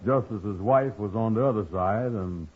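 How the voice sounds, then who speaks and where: Low-voiced sheepish bumbler. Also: male voice, normal speed, husky. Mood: sheepish, evasive. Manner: hesitant, folksy dialect grammar. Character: slow-witted, sheepish, gullible man, girl-crazy bumbler. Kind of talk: 1940s radio comedy acting. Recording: muffled, noisy vintage radio recording.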